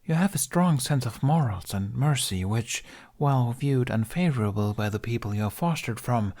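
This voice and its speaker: A man voicing a serene young Viking maiden for an audiobook, a mystical warden who speaks softly, formally and with knowing amusement. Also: mid-range voice, animated, normal speed, natural voice. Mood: calm, firm.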